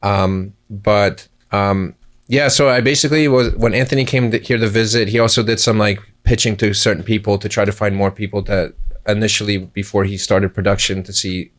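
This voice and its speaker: A man with a low, level voice describes his crew work in a relaxed guest interview. He rambles and restarts as he explains, correcting himself along the way. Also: a little fast. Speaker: film crew member, first camera assistant. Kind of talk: casual interview answers.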